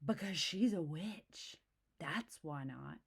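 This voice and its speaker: A woman's voice: low-pitched, animated, takes it slowly, creaky, a little hiss or hum, exaggerated voice. A woman voicing a wary, earnest young male bear cub in a children's storybook. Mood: fearful.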